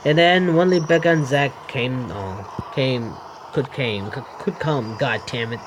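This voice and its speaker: A man's voice: mid-range voice, measured pace, slight background noise. A man hosting a gaming video, reading a visual novel aloud in an informal, stumbling way with self-corrections. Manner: stumbling, informal reader who corrects himself. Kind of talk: gameplay read-aloud narration with asides. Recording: music clearly behind the voice. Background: game background music.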